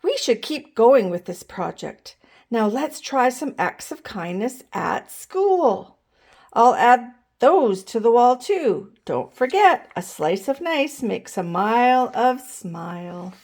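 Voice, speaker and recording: A woman reader puts on an upbeat lady kindergarten teacher from a storybook. She is high-pitched and swoops up and down, exclaiming her encouragement in a sing-song way. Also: big pitch swings, slow, a little mellow, full character voice. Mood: encouraging. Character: upbeat, encouraging female kindergarten teacher who speaks in rhymes.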